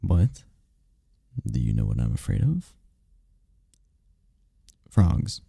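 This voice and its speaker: A man playing a tender, powerful incubus boyfriend in an intimate roleplay ASMR, speaking softly and soothingly with unhurried, caring murmurs. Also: huge pitch swings, dry recording, studio quality, full character voice. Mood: gentle, reassuring. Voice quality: deep, dark, gravelly, husky. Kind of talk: intimate roleplay ASMR, scripted comfort monologue. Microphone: close mic, dry.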